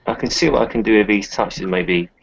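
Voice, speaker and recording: Casting: male roleplayer, low creaky voice, casual game talk, dull lo-fi sound. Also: a little fast. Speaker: tabletop game player. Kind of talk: casual game play, describing actions and dice. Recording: dull lo-fi audio.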